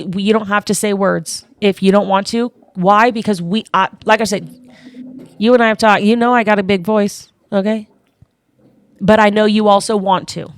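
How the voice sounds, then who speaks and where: Woman hosting a live panel, big loud voice, swears a lot, keeps saying like i said, dry close sound. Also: mid-range voice, no echo. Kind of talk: heated live-stream talk.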